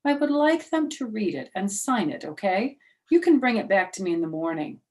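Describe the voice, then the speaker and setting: A woman's voice: mid-range voice, big pitch swings, pitched above the performer's own voice, close to an everyday voice. Woman as a firm, patient male schoolteacher. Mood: firm, conciliatory. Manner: deliberate, admonishing, emphatic.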